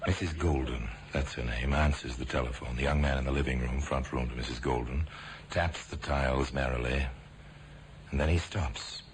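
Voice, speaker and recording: A man narrating a radio drama in a measured, ominous way. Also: extremely low pitch, fairly even intonation. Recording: hissy old radio broadcast.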